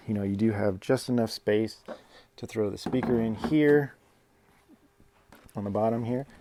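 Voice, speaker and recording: A man's voice: on the low side, vocal fry, background noise. A man giving a casual, informal product demonstration as a reviewer, explaining things step by step and repeating phrases. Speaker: equipment reviewer and host.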